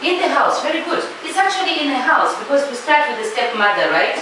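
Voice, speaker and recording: A woman's voice: sits high, big pitch swings, fast talker. A woman with a Zimbabwean accent teaching a primary school class, asking questions and insistently repeating them and the answers. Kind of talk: classroom lesson with questions to pupils. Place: classroom, indoors. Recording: echoey classroom, distant mic, clear noise.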